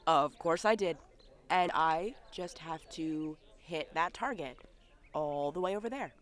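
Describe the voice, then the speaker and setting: A weary fantasy king, acted by a woman speaks slowly in a fairly low register. The delivery is hesitant and stammering, as in an audio drama, like a ruler out of his depth. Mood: unsure. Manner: halting, stammering. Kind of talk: amateur audio drama acting.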